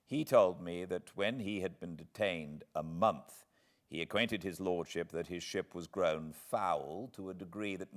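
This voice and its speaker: A man with a British accent reading a classic book aloud as an expressive audiobook narrator. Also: sits low. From Britain.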